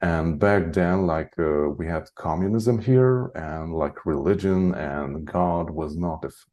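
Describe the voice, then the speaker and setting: Deep-voiced man, rambling. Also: measured pace, darkish tone. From Hungary. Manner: rambling, heavy use of "like", frequent chuckles. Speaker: guest, spiritual channeler. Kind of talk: casual interview chat.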